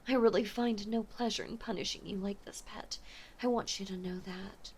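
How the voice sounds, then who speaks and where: A woman playing an obsessive, possessive mafia heiress ex-lover in an intimate audio drama, speaking with menacing sweetness and often slipping toward a breathy whisper. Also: medium pitch, fairly even intonation, normal speed, a little mellow, a little hiss or hum, lightly acted. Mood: falsely tender. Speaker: voice actor. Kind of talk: intimate dramatic roleplay monologue.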